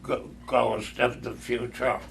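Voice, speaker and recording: Halting, gravelly old miser, read by a man. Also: mid-range voice, level tone, a bit bright, slight background noise, heavily acted. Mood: fearful, earnest. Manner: halting, stammering. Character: frightened, humbled old male miser, pleading.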